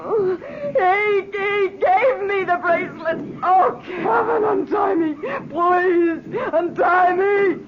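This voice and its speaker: A woman's voice: very high-pitched, slow pace, few pauses, raised voice, highs cut off, crowd noise, full character voice. An actress playing a desperate, starving cursed woman who pleads and wails in an old-time radio drama, on a hissy vintage recording. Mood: frantic wailing. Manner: pleading, wailing.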